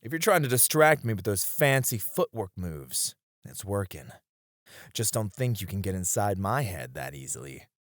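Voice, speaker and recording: A man's voice: fairly low pitch, big pitch swings, moderate pace, no echo, clean studio sound. A man playing a weary, tender warrior and teasing sparring partner in an intimate ASMR romance roleplay, speaking wistfully with chuckles and sighs. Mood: playful, exerted.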